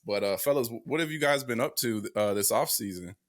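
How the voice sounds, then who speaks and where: A man's voice: mid-range voice, lively intonation, fairly quick. A young man hosting a casual sports podcast, talking with many fillers and restarts. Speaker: podcast host.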